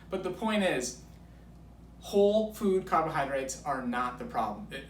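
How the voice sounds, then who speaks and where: A man's voice: on the high side, fairly bright tone, far from the mic. A man in his thirties explaining things as a guest coach in an enthusiastic, emphatic way, driving key points home. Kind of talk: enthusiastic explaining in a filmed conversation. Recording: roomy echo, off mic, background noise.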